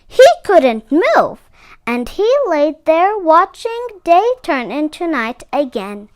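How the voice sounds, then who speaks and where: A woman's voice: high voice, big pitch swings, slow pace. A woman narrating a children's picture book expressively, with dramatic phrasing. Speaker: read-aloud storyteller for children.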